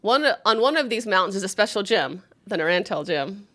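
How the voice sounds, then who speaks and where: A woman's voice: mid-range voice, expressive, brisk, a bit bright. A giggly woman in her forties chatting and reading aloud casually as a podcast host, poking fun at herself. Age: 40s. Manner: giggly, self-mocking. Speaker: podcast host, amateur author reading her own story.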